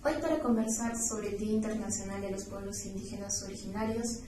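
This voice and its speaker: A woman's voice: mid-range voice, a little fast, mellow. A twenty-year-old woman with a southern Peruvian accent co-hosting a podcast interview in a scripted style. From Juliaca, Puno, Peru. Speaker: podcast co-host. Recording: echoey room, distant mic, faint noise.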